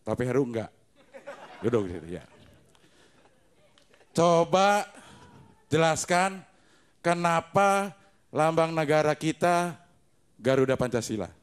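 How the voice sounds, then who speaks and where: Slow, lively-pitched middle-aged comic. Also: male voice, clean tone. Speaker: stand-up comedian. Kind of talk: stand-up comedy set. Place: tv studio comedy stage. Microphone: handheld mic.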